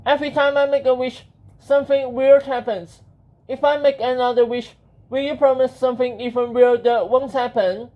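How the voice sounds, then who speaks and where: Man voicing a high, earnest young boy. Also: fairly even intonation, normal speed, darkish tone, poor audio. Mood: worried, careful. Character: young boy, eager, anxious, polite. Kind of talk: children's audiobook character voice.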